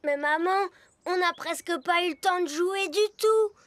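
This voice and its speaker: A woman's voice: extremely high pitch, moderate pace, a bit bright, no echo. A woman voicing a keen, playful little elf boy in a cartoon dub, with a boyish, childlike voice. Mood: pleading. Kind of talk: animated cartoon dubbing. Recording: children's music behind the voice.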